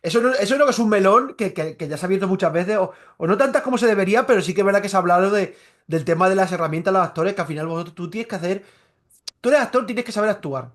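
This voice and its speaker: A male interviewer who talks quickly in a somewhat high voice. His questions tumble out with restarts and repeated words before he lands on them. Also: lively intonation. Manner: rapid, stumbling questions with many restarts and fillers. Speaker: interviewer, journalist. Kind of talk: interview conversation.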